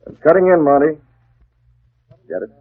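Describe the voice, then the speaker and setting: An actor playing a masked vigilante posing as a menacing crime boss, commanding and clipped, in 1940s radio style. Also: male voice, a little high, sing-song, normal speed. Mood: threatening, commanding. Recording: muffled, tinny 1940s radio recording.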